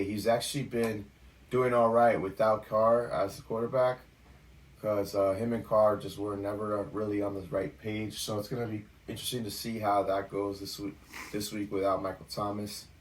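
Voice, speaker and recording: A man co-hosting a sports podcast, going over game matchups in a relaxed chatty way with lots of fillers. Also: fairly low pitch, distant mic, low-quality recording. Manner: relaxed, talks through stats with fillers. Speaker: podcast co-host. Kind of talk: casual sports podcast chat.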